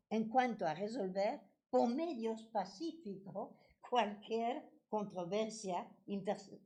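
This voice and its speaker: A woman's voice: medium pitch, a little mellow. A woman with a French accent giving a passionate political talk at a debate. She often restarts words and hesitates. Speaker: debate speaker.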